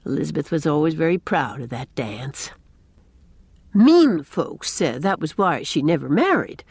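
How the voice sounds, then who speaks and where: A woman voicing a brisk, opinionated middle-aged village spinster in a storybook, with an emphatic, folksy, tart way of talking. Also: on the low side, slightly rough, no room sound, voice pushed up, heavily acted.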